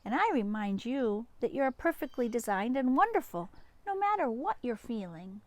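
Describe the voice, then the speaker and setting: A devoted storybook father, read aloud by a woman. He speaks with affectionate encouragement and reassurance in a very expressive, rising and falling delivery. The recording is dry. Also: a little high, normal speed, dry recording. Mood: tender, reassuring. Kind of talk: picture-book read-aloud.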